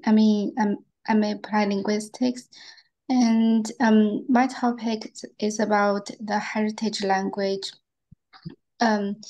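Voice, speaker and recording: Woman, very slow pace, mid pitch, hesitant, student panelist. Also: creaky, a little mellow, rough recording. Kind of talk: hesitant self-introduction.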